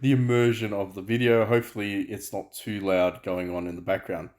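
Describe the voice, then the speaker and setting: A man hosting a gaming channel, talking loudly and chattily through gameplay with many fillers and restarts. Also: lowish voice, little pitch movement, fairly quick. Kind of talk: casual gameplay commentary. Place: home room, night, dogs and frogs outside.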